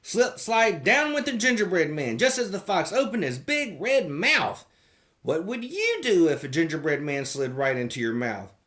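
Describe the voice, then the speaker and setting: Read-aloud host for kids, playful and theatrical, with hugely swooping pitch. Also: big pitch swings, moderate pace, fairly bright tone. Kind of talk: expressive storybook reading.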